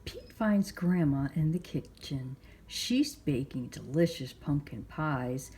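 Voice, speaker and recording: A woman hosting storytime, reading a picture book aloud to young children with measured, expressive phrasing. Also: low voice, soft background music. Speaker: library storytime host.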